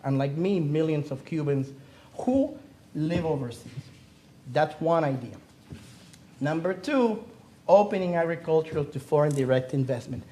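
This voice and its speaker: A middle-aged man born in Cuba speaks at a seminar in a theater, earnest and emphatic. His voice sits a little high for a man, with a dark, mellow tone. Faint room ambience hangs behind him. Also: measured pace, pauses often, a little hiss or hum. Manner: earnest, emphatic, rhetorical questions. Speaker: panelist speaking on the economy. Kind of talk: academic seminar talk.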